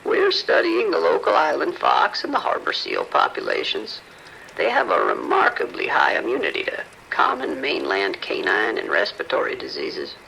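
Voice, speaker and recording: A man playing a polished research scientist in an audio drama, formal and precise, offering measured reassurance. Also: fairly high pitch, vocal fry, bright, forceful tone, slight background noise, full character voice, rough recording.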